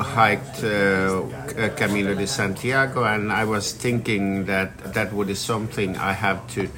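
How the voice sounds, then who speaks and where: A man from Finland, answering an interviewer outdoors in halting English with restarts. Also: low voice. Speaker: pilgrim interviewee.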